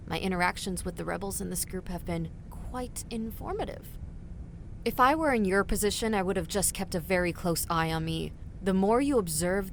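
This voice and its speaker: A woman's voice: on the low side, background noise, lightly acted. A woman voicing a cold, smug spy leader who threatens her captors in an audio drama. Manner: smug, menacing, measured.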